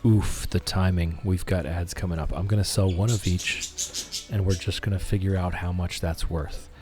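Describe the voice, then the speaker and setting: A man hosting a calm, soothing game livestream, chatting gently and in a friendly way with his viewers. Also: low-pitched, moderate pace, over music. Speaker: game streamer, host. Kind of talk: calm, soothing livestream chat over gameplay.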